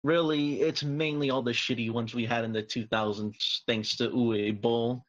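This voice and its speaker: A man's voice: medium pitch, fairly even intonation, moderate pace. Man on a dull, lo-fi mic. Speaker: podcast panelist. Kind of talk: casual group discussion.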